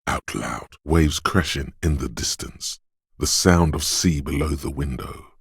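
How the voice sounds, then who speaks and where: A brooding inner voice of the senses for a game, slow and hushed as it lingers on smell, touch and sound. The voice sits extremely low, with a husky rasp. It is recorded close and dry in a studio booth. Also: male voice, no room sound, exaggerated voice. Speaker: voice of a game's inner perception skill. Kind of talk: second-person game narration.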